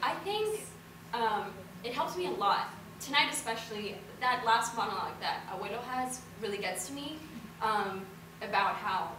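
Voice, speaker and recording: A young adult woman, an actor giving casual, reflective answers on a discussion panel. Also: medium pitch. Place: indoor room, public post-show discussion. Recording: noisy, echoey room, far from mic.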